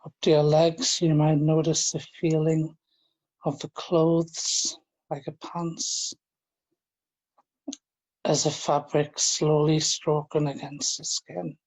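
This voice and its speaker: A man leading a guided relaxation, speaking in a gentle, informal and halting way, often repeating his phrases. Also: on the high side, monotone, low-quality recording. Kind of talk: guided relaxation, meditation instruction.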